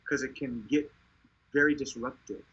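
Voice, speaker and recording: A man's voice: medium pitch, fairly even intonation, normal speed, fairly bright tone, husky, muffled recording. A man, a drummer being interviewed, who talks in a laid-back, joking way with dry self-mockery. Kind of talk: casual interview talk.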